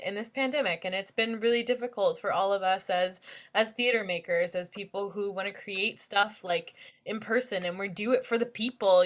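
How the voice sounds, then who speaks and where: Higher-voiced woman moderating a casual panel. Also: fairly bright tone. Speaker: moderator of a panel with theater designers. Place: remote video call.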